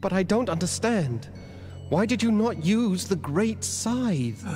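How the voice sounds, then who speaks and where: A man's voice: sits high, big pitch swings, takes it slowly, a little mellow, heavily acted. An actor playing an earnest, puzzled young weaver boy in a video game, speaking with careful, questioning delivery. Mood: puzzled, concerned. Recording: long reverb with music behind.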